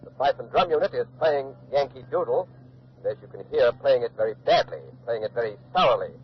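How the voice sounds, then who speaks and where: A man's voice: mid-range voice, expressive, brisk, lightly acted. A man acting an earnest on-the-scene reporter in a 1940s radio docudrama, describing events in an articulate eyewitness style. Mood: measured, observant. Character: earnest male radio field reporter at a colonial standoff. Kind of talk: 1940s radio docudrama live news commentary. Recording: dull old radio recording with hiss.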